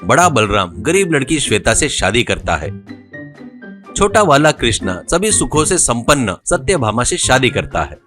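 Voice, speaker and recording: A man's voice: medium pitch, sing-song, normal speed, fairly bright tone, faint music behind. A man narrating a scripted moral story as a storyteller.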